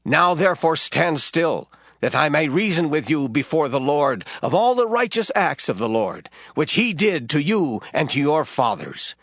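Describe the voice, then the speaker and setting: A man's voice: on the high side, sing-song, normal speed, a bit bright, no room sound, studio quality. An actor playing an old, gray-headed prophet who addresses his nation in a solemn, stern, declamatory way, as in a scripture drama. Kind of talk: dramatized scripture acting, oratorical. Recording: music bed behind the voice.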